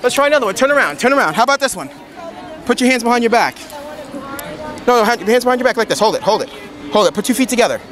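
Casting: male demonstrator, high voice, pushy repeated instructions, recorded amid busy convention crowd. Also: expressive, racing, pauses often. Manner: insistent, repeats short commands. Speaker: product demonstrator at a convention booth. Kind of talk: informal hands-on product demonstration. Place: convention booth in large indoor hall. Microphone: camera a few metres away.

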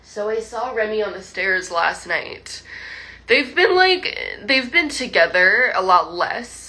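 A woman's voice: medium pitch, lively intonation, takes it slowly, bright, forceful tone, low-quality recording. A young woman livestreaming from home, chatting to fans in a rambling, laughing way full of likes and restarts. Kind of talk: casual chat with viewers. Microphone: phone livestream.

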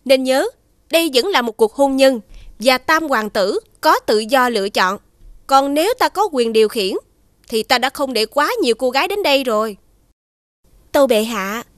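A woman voicing a proud, shrewd young princess in an animated fairy tale, speaking in a formal, courtly and assertive way. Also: sits high, no room sound, studio quality.

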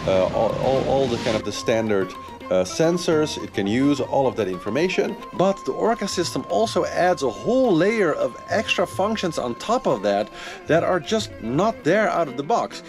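A man's voice: fairly high pitch, big pitch swings, normal speed. A man in his thirties, a sailing vlogger and charter captain, giving an enthusiastic, casual product review from a sailboat, with frequent fillers. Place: on a sailboat, outdoors. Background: music.

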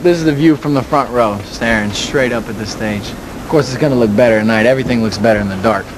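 A man's voice: mid-range voice, fast talker, very noisy. A young man hosting a music countdown in an upbeat, announcer-like way from a concert venue. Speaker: band member co-hosting a countdown. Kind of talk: energetic show hosting.

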